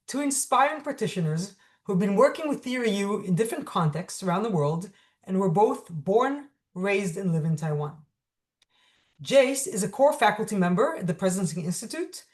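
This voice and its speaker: A woman hosts an interview with a prepared, formal delivery. Her voice sits at a middle pitch, and she articulates each phrase in a measured way. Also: darkish tone. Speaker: host. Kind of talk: scripted introduction, interview hosting.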